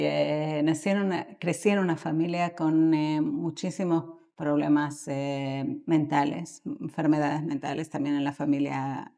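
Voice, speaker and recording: A middle-aged woman with an Argentine accent answering as an expert guest in a podcast interview, thoughtful and halting, pausing mid-sentence. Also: sits low, creaky, mellow.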